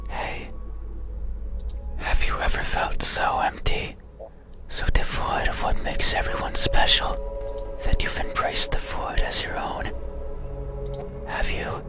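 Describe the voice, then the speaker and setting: A performer voicing an eerie, hollow, mysterious being in a breathy, halting whisper for a horror game. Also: natural voice. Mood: hollow, wistful. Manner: slow, halting, hushed delivery. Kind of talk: horror visual novel voice acting. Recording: whisper under loud music. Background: loud music.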